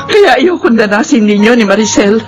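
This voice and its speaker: A woman's voice: a little high, animated, moderate pace, natural voice. An actress playing a remorseful, tearful mother pleading with her child in a melodramatic Filipino radio drama. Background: loud dramatic music.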